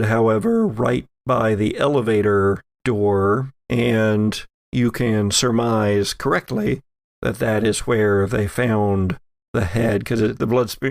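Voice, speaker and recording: Male game master for horror tabletop play; unhurried, halting storytelling in a dry-sounding space. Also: lowish voice, vocal fry, dry recording.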